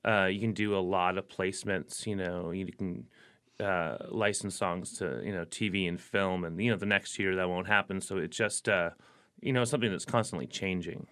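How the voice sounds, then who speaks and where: A man's voice: low voice, normal speed, smooth. A man, a working musician, answering interview questions casually, rambling with lots of verbal fillers. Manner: relaxed and rambling, full of "like" and "you know" fillers. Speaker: career musician, interview guest.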